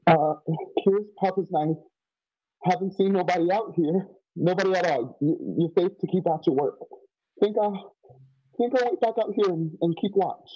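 A man's voice: high-pitched, moderate pace. A man playing a timid, frightened teenage son of an outlaw in a radio drama, stammering and pleading over muffled call audio. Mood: nervous evasive.

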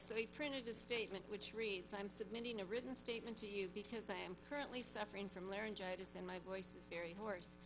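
A woman's voice: medium pitch, low-quality recording. A woman giving public comment from the audience of a council chamber, reading a statement and speaking with indignation. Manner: confrontational, indignant. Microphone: standing microphone at her seat.

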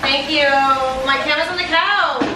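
Raspy girl in her 20s roasting her dad, high voice, echoey far mic. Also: moderate pace. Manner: teasing, swearing, casual banter. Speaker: vlogger. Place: apartment dining room. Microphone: far camera. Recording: echoey room from far off, noisy.

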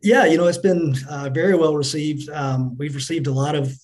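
A middle-aged man answering business interview questions as a company finance chief, in a measured, businesslike manner. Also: a little high, steady tone, darkish tone. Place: indoors on a remote video call.

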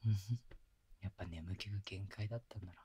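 A man playing a gentle, doting young boyfriend in an ASMR bedtime audio drama, speaking softly and breathily, soothing and coaxing, close to the mic. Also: sits low, fast talker, a little hiss or hum, lightly acted. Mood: fond whisper. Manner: soft, soothing, tender, coaxing, often dropping to a whisper. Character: gentle doting young boyfriend lulling his sleepy partner. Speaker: voice actor. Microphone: close binaural microphone.

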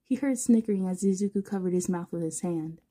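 A woman's voice: on the low side, fairly quick, dark tone, breathy edge. A woman narrating fan fiction informally as a podfic reader, now and then stumbling over words.

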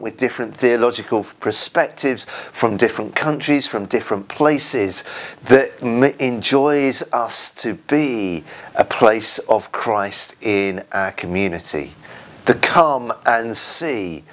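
A man in his forties with an English accent, a church minister giving an earnest, informal sermon in short, halting phrases, recorded indoors on a lapel mic. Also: mid-range voice. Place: indoors, small room at home.

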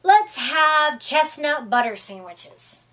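A little roly-poly mummy boy from a picture book, read by a woman. He is eager and contrary, speaking emphatically in a high, lively voice. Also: takes it slowly, heavily acted. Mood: insistent. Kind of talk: children's storybook character reading.

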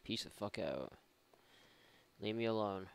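Male let's-player, lowish gravelly voice, sarcastic, mock-exasperated, sweary casual commentary. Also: lively intonation, measured pace. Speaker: video game let's-player. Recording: faint game noise behind voice.

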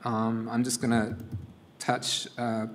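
Middle-aged man, non-North American accent, workshop presenter at a lectern; mid-pitched and flat, a bit of faint background noise. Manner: casual, halting, many fillers and restarts. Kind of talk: live coding demo talk. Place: conference room. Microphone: lectern mic.

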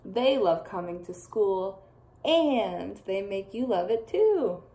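A young woman teacher reading a picture book aloud to children, expressive and full of playful asides. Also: medium pitch, slow. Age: young adult.

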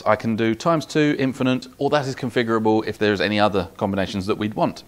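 A man in his forties with a British accent giving a methodical, step-by-step product demonstration. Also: medium pitch. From United Kingdom. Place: small office demo room, indoors.

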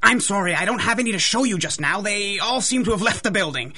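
Flustered, sharp-voiced zookeeper. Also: male voice, high-pitched, lively intonation, fast talker, heavily acted. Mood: exasperated. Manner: exasperated, stammering. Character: flustered, irritable male zookeeper. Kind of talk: 1930s-style radio adventure acting.

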